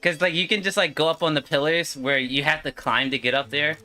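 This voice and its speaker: A man doing casual live gameplay commentary, streaming in an excitable, slangy way with swearing and exclamations. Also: on the high side, brisk, faint music behind. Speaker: game streamer.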